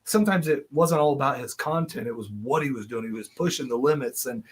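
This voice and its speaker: A man's voice: mid-range voice, fast talker, husky. A man in his thirties, a podcast guest, telling long rambling stories in casual chat, laughing often and restarting his sentences. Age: 30s.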